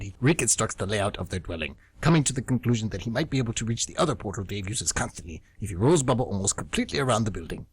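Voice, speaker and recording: Low husky guy reading a dramatic story for radio theatre, dry sound. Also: fairly bright tone, dry recording, poor audio. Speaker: narrator and co-host.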